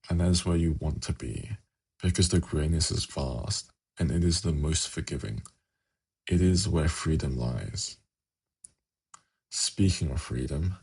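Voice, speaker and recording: A man playing a seductive, ancient demon prince in an ASMR fantasy roleplay, coaxing and teasing in a slow, intimate manner with formal wording. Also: rumbling low, darkish tone, heavily acted. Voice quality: gravelly, husky.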